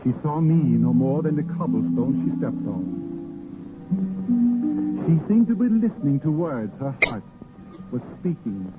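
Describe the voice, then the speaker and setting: An actor playing an ardent, gallant vagabond poet posing as a lord, with theatrical, hesitant pauses, in a 1930s radio drama. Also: male voice, mid-range voice, big pitch swings, over loud music. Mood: ardent, wooing. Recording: muffled 1930s radio broadcast recording.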